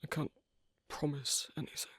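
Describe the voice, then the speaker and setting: A man playing a guarded, flirtatious loner werewolf in an ASMR roleplay, speaking hesitantly and teasingly in a breathy, husky near-whisper. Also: moderate pace, sharp tone, no room sound, close to an everyday voice. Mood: tentative, flirtatious. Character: gruff, guarded, flirtatious asocial werewolf man on a first date. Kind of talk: ASMR roleplay, intimate one-sided dialogue.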